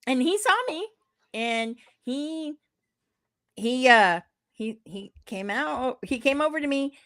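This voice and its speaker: A woman with a loud voice telling a casual story, rambling with lots of "like" and acted-out dialogue. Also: high-pitched, expressive, takes it slowly, dry recording, studio quality. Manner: rambling, full of "like" and quoted dialogue. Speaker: podcast co-host.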